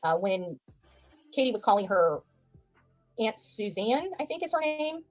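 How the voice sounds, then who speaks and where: A woman's voice: mid-range voice, big pitch swings, a little slow, no echo, faint music behind. A woman giving informal livestream commentary, emphatic and repeating phrases for stress.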